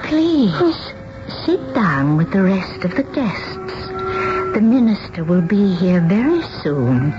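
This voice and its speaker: An actress playing a deluded, serene older spinster bride, gracious and fragile, in a 1970s radio drama. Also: female voice, medium pitch, big pitch swings, slow pace, over music. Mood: dreamily welcoming. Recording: muffled, noisy old radio broadcast.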